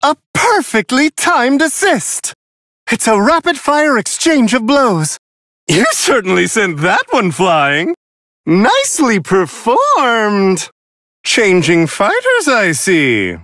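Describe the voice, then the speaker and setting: A man's voice: sits high, wildly swooping pitch, slow pace, pushed and bright, no echo, clean studio sound, heavily acted. A man voicing a refined otherworldly angel who commentates video game fights with prim, serene, amused courtesy. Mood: amused, courteous. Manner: prim, genteel, elongated formal phrasing.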